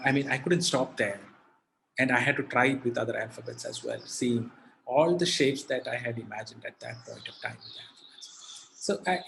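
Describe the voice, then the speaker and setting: Poet guest, gravelly, halting speech on a slightly noisy call line. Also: male voice, medium pitch, a bit bright. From India. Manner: halting, many fillers and restarts. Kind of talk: conversational interview answer. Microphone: video call.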